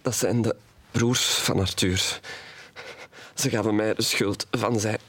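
An actor playing a tender, grieving, wounded young man in an audio drama, speaking in a halting, confessional way. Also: fairly low pitch, fairly even intonation, a bit bright, slightly rough, exaggerated voice. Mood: grieving, weary. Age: young adult. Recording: music behind the voice.

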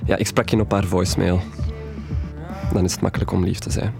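A man's voice: deep voice, level tone, a little fast, creaky. A man acting a charming, slightly shy young man in a natural, hesitant and joking audio drama style. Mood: wry. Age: young adult. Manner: hesitant, wry, conversational. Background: music.

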